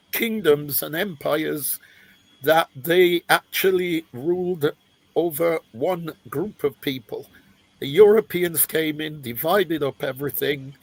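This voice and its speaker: Jamaican-born male panelist, husky voice, dry close sound, halting delivery. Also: a little high, dry recording, low-quality recording. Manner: hesitant, searching for words with restarts. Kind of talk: panel discussion.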